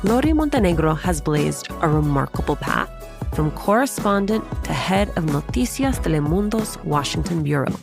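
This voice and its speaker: A woman speaking as a podcast host and interviewer, in a clearly phrased presenting style on a close microphone. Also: deep voice, sing-song, normal speed, over music. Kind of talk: scripted podcast narration and interviewing.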